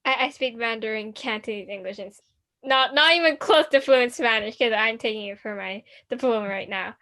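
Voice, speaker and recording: A woman's voice: a little high, brisk, bright, forceful tone. A teenage girl from Hong Kong co-hosting a student podcast, chatting casually with many restarts. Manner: rambling, many restarts and fillers. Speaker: podcast co-host.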